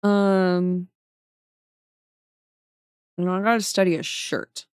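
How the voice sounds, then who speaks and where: A woman's voice: on the low side, steady tone, slow, clear, resonant tone, dry recording, studio quality. A woman streamer chatting casually with viewers while she draws, often trailing off mid-sentence as she works. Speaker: streamer drawing emotes. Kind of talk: casual chat with chat while drawing.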